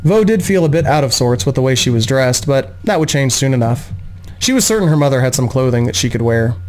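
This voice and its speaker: A man's voice: mid-range voice, animated, fairly quick, darkish tone. A man in his thirties reading a fantasy story aloud as dramatic audiobook narration, close to a desk microphone. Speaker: reader of a play-by-post game journal. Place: small home room, indoors.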